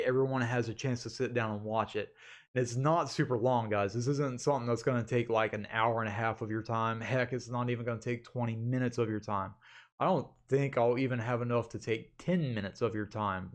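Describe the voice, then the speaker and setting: A man hosting a casual update vlog, talking informally and in a rambling way to his viewers as an animator. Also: medium pitch. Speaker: animator and channel host.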